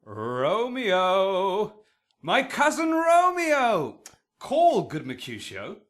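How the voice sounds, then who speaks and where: A man's voice: high voice, wildly swooping pitch, extremely slow, heavily acted. An actor playing a playful, jovial young kinsman in a Shakespearean radio drama. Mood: calling out. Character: jovial young kinsman calling after his friend.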